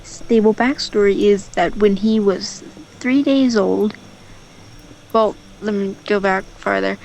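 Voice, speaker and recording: A woman's voice: medium pitch, steady tone, slow pace, creaky, darkish tone, slight background noise, rough recording. A woman telling a story casually and off the cuff, rambling, with offhand asides. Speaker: storyteller on a gaming channel.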